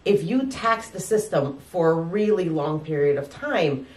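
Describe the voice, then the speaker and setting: A woman doctor from Ukraine, explaining calmly and giving advice in an interview. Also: fairly low pitch, measured pace, echoey room, recorded from a distance. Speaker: doctor and medical guest.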